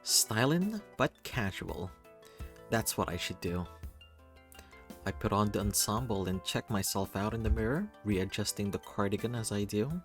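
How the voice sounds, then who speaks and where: Male narrator, low register, expressive first-person story reading with theatrical flair, faint music underneath. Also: moderate pace. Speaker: reader of a visual novel.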